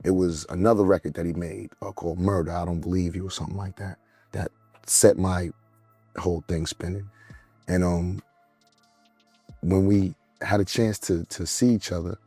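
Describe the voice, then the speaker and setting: A man's voice: sits low, flat delivery, moderate pace, dark tone, husky, no echo, soft background music. A man telling the story of a fight in a casual interview, heated and boastful, leaning on fillers.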